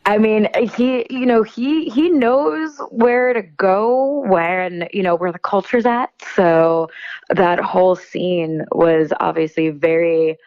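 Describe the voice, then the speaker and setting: A woman speaking as an interview guest, enthusiastic and halting as she picks her words in casual promotional talk. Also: medium pitch, lo-fi sound.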